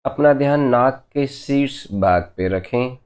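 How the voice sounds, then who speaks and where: A man leading a guided meditation as a teacher, giving gentle, unhurried instructions in short phrases with long pauses between them. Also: mid-range voice, clear, resonant tone.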